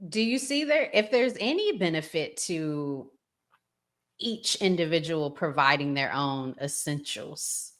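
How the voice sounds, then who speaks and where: Slow-paced, low-voiced American woman. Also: expressive, a bit bright. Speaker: podcast co-host. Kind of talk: casual discussion, posing questions.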